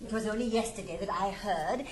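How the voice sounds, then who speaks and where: A woman's voice: mid-range voice, a little fast, natural voice. An actress playing a gossipy, falsely sympathetic older society lady in a stage comedy, English, gushing and affected. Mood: mock-concerned gossip. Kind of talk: theatrical Restoration-style stage comedy acting. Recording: roomy 1960s studio recording with hiss.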